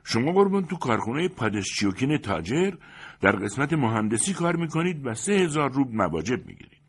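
A man's voice: medium pitch, very animated, husky, pitched above the performer's own voice. A man playing an obedient coachman in a story, answering his master with dutiful deference.

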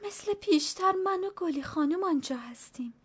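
A high, anxious voice of a stooped old servant woman, put on by a woman reading a story. She pleads in a wily, superstitious way, her voice climbing with fear. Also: lively intonation. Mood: fearful pleading.

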